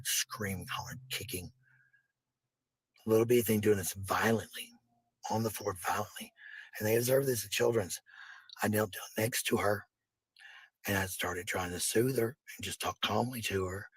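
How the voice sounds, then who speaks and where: Low, husky man venting bluntly. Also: fairly bright tone, dry recording, rough recording. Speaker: video host telling personal stories. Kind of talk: casual personal storytelling and venting.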